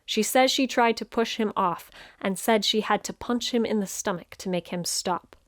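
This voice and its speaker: A woman reading a scripted news report in a measured, formal manner. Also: medium pitch, dry recording, studio quality. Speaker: guest voice reading quotations.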